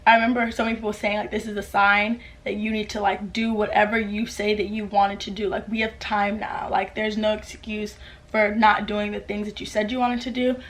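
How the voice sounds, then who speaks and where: Chatty teenage girl vlogging. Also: medium pitch. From United States. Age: late teens. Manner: chatty, upbeat, lots of "like" fillers. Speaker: YouTuber. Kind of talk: casual Q&A vlog to camera. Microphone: camera mic with ring light.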